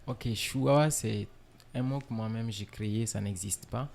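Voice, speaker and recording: A man from Niger, a musician guest in a casual interview, relaxed and filler-heavy, dropping in English words. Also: on the low side, normal speed, creaky.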